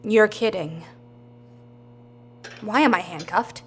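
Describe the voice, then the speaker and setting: An actress playing a tough, sardonic, war-hardened survivor in an audio drama, with a blunt, dry, sarcastic delivery. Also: female voice, medium pitch, slow pace, a little hiss or hum, lightly acted. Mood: angry, accusing.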